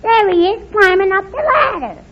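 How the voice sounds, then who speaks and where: A woman's voice: very high-pitched, expressive, normal speed, heavy background noise. A woman playing a bratty, whiny little girl in a 1930s radio comedy. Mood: stubborn, demanding. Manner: whiny, stubborn. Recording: dull lo-fi 1930s radio recording.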